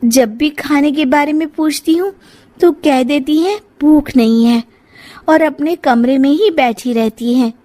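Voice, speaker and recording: Woman acting young daughter-in-law, highish voice, slow delivery, pointed, reproachful, storybook. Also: mellow. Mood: concerned.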